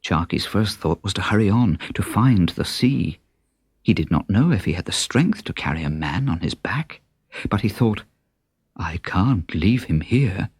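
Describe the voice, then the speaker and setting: Husky, low-voiced male storyteller. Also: no echo. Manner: expressive, unhurried storytelling. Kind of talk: dramatic children's story narration. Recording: children's story cassette with music. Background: music.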